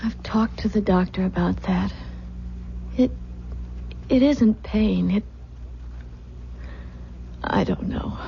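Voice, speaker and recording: A woman's voice: medium pitch, takes it slowly, gravelly, long pauses, warm tone. A woman playing an anxious, weary young expectant wife, hesitant and dramatic in the manner of old radio acting. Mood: evasive, uneasy. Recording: muffled, noisy old radio recording.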